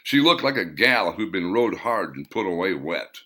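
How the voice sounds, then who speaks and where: Man, author narrating a story, low-ish voice, lively pitch, bright tone. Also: moderate pace. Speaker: author reading his own story.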